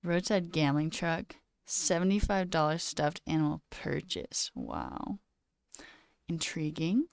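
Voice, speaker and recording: A woman's voice: deep voice, sing-song, slow pace, creaky, clean tone, no echo. A young woman with a slight sore throat, casually chatting on a live coding stream, reading chat aloud and muttering at her code. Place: small room indoors.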